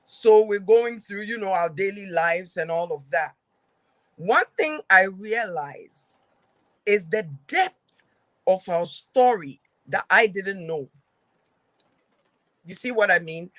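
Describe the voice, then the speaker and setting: A female talk show host with a Ghanaian accent speaks at an unhurried pace. She is conversational and emphatic, often checking that her guest follows, in a dry, close recording. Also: mid-range voice, expressive, fairly bright tone, no echo, rough recording. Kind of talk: conversational interview, affirming the guest.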